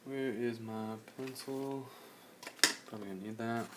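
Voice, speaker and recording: A young man, slightly hoarse, chatting casually in a relaxed, rambling way while he streams a drawing session. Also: medium pitch, unhurried, background noise. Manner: relaxed, rambling, many fillers.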